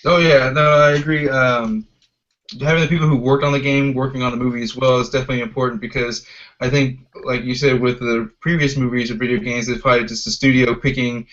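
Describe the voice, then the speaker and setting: A man with an average-pitched voice hosts a relaxed podcast discussion. He is agreeable and a bit hesitant, circling back over his phrases. The sound is slightly dull and lo-fi. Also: fast. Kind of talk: casual panel discussion.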